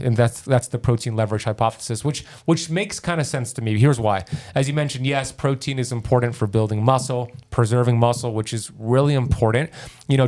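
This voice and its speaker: A man's voice: medium pitch, a little mellow. A man talking as a podcast guest, explaining health ideas in a keen, teacherly way with examples. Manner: enthusiastic, teacherly, gives examples. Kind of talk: explanatory interview talk.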